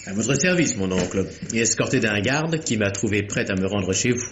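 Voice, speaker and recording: An actor playing a polished, formal young society nephew, suave but defensive, in a radio mystery drama style. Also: male voice, lowish voice, expressive, moderate pace, slight background noise, natural voice. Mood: polite, evasive. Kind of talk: 1950s French radio mystery acting.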